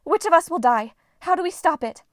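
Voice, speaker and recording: A woman's voice: very high-pitched, animated, brisk, no room sound, clean studio sound, voice pushed up. A woman voicing a tired but determined teenage girl in a storybook reading, earnest and insistent.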